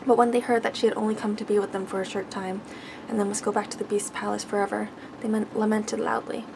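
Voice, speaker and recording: A woman reads a bedtime story in a soft, gentle ASMR delivery. Her mid-pitched voice has a noticeable creak, and she takes her time, sometimes tripping on a word. Clear background noise sits behind her. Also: flat delivery. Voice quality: soft-spoken. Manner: gentle, measured, stumbles over words while reading. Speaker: ASMR host reading aloud.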